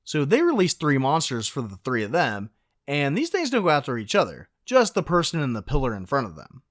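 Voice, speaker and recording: A man's voice: mid-range voice, wildly swooping pitch, a little fast, no room sound, clean studio sound. A man narrating a film recap casually, with a wry, sarcastic, joking delivery.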